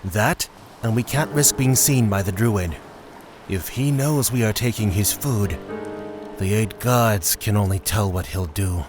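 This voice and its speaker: A man's voice: fairly low pitch, measured pace, close to an everyday voice. A man voicing a wary, sardonic and impatient thief of a reptile folk in a fantasy audio drama. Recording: music bed with night insects.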